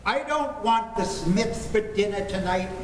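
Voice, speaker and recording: A man's voice: sits high, expressive, measured pace. A man acting a stubborn, flustered father in an amateur 1940s-style radio comedy, hemming and stammering emphatically. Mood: indignant. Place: filmed stage performance in a room. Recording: echoey room, faint background noise.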